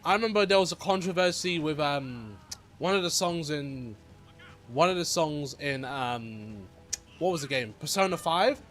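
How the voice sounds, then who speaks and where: Chatty young man with a slightly high voice. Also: lively intonation, moderate pace, fairly bright tone, faint music behind. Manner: rambling, chatty and easily amused, trailing off mid-thought. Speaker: gamer playing a video game. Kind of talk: casual gameplay commentary.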